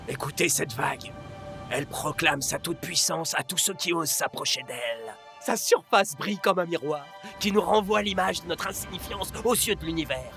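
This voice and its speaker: A man voicing an excitable young surfer-dude footballer in a French cartoon dub, gushing in theatrical surfer slang. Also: fairly high pitch, normal speed, vocal fry, music in the background. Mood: awed.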